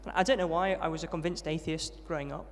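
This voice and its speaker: A young man recounts his upbringing at a brisk pace and a slightly raised pitch. He is friendly and self-deprecating, with plenty of ums. His voice rings with the long reverb of a large hall. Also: fairly even intonation, slight background noise. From Yorkshire, England. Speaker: interviewee, trainee vicar. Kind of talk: testimony interview at a student event.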